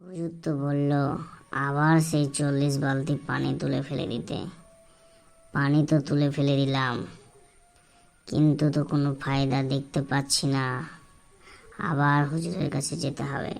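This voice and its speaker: Low, mellow voice of a simple-minded villager played by a woman. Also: flat delivery, normal speed, vocal fry, pauses often. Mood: puzzled, complaining. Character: simple-minded, troubled villager, a married man with a foul well. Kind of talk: amateur cartoon dialogue.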